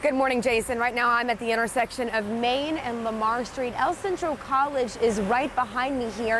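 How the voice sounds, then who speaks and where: A woman giving a live television news report outdoors at a downtown intersection. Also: a little high. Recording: noisy outdoor street with traffic.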